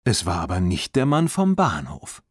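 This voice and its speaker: A man reading a children's audiobook as narrator, in an expressive storytelling style. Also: low-pitched, normal speed, husky, no echo, studio quality.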